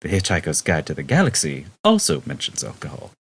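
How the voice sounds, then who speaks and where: A man's voice: rumbling low, wildly swooping pitch, fairly quick, creaky, breathy edge. A man reading a comic novel aloud as a scripted storybook narration. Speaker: audiobook narrator.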